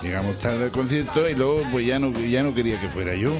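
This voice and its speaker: An actor playing a bandmate who rambles through absurd stories in a low-key, deadpan way. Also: male voice, fast talker, over music, lightly acted. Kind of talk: mockumentary radio drama testimony.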